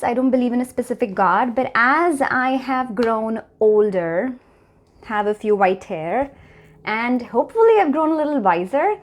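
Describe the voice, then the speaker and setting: Earnest Indian woman, fairly high voice. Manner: earnest, measured, slows to stress key phrases. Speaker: channel host. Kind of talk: reflective personal talk to camera.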